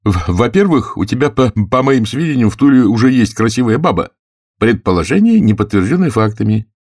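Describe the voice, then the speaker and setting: A man's voice: medium pitch, big pitch swings, fairly quick, darkish tone, dry recording, clean studio sound, pitched above the performer's own voice. A man voicing a mocking, wry male war correspondent with a heavy stutter, in an audiobook. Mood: teasing. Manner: ironic, joking, clipped bursts.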